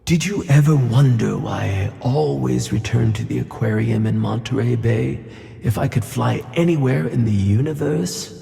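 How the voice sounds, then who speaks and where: A man playing a gentle, wise magical tuna fish who reassures his friend in a children's audio play. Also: mid-range voice, normal speed, vocal fry, in a large hall, soft background music. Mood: confiding.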